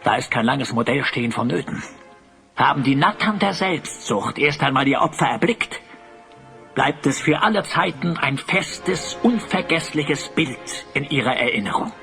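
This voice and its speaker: A man playing a vain, scheming master sculptor in a fantasy audio drama, speaking with flattering, theatrical grandeur. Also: mid-range voice, moderate pace, creaky, soft background music. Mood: sinister, gloating.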